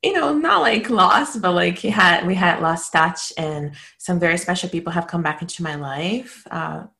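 Casting: female interview guest from Brazil, 30s, native Portuguese, low register, candid conversational storyteller. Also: moderate pace, creaky, sharp tone. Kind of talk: casual personal storytelling.

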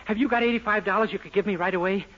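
A man's voice: high voice, racing. An actor playing a naive, easily panicked young man in a 1940s radio sitcom, flustered and boyish. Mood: pleading. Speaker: lead comic character. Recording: dull old radio broadcast, loud hiss.

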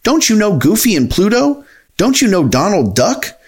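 A man plays a cocky cartoon duck from a children's storybook. He is indignant and brash, with a high voice that swoops excitedly. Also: normal speed, husky, full character voice. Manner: brash, challenging.

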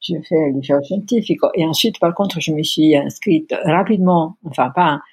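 A woman's voice: low-pitched, normal speed, darkish tone, slightly rough, dry recording. A middle-aged woman from Italy, speaking with an Italian accent in a podcast interview, hesitant and often searching for words. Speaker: interview guest.

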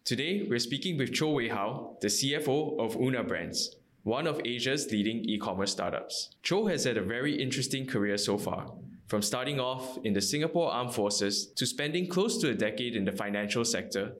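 A man's voice: medium pitch, lively intonation, a little fast. A man hosting a podcast, reading a polished intro and then asking questions in a looser way. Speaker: podcast host.

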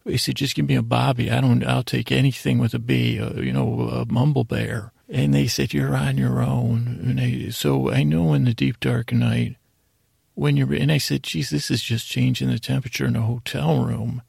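A man with a heavily creaky, husky voice at a medium pitch hosts a bedtime podcast. He meanders and doubles back on himself in a halting, lulling monologue. The recording is dry and close, with no room sound. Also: fairly even intonation, darkish tone, no room sound. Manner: meandering, halting, self-interrupting, soothing intent. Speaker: podcast host.

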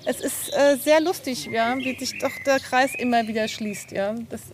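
A woman's voice: a little high, moderate pace. A woman in casual conversation as a visitor on an outdoor castle tour. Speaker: podcast guest and visitor. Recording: noisy outdoor location recording.

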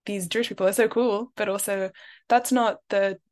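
A woman's voice: mid-range voice, fairly even intonation, moderate pace, darkish tone. Thoughtful young woman. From France, raised in London. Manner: thoughtful, many "like" and "um" fillers. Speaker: guest. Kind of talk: reflective interview talk.

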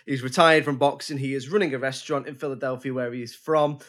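A man's voice: medium pitch, steady tone, quick pace, a bit bright. Guy rambling about a movie on a podcast, lots of ums and restarts, middle voice. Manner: rambling, hesitant with many restarts. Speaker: podcast co-host. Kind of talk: casual chat.

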